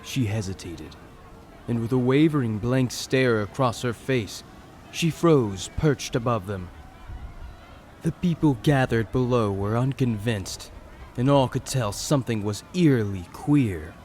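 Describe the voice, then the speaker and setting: A man's voice: medium pitch, animated, unhurried, a little mellow, slight background noise. A man narrating a scripted fantasy story in a dramatic storytelling style.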